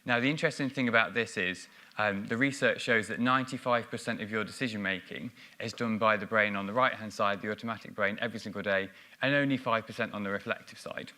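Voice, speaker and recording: A man with a lowish, forceful, bright voice gives a conference talk on stage. He explains his points step by step and often pauses on "um" or restarts a phrase. He is speaking into a stage microphone. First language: English. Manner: explanatory, frequent ums and restarts. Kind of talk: business conference presentation.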